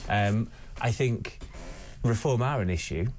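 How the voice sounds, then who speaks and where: A man, a panel guest, speaking haltingly with many restarts and fillers. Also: on the low side, a little mellow, slight background noise.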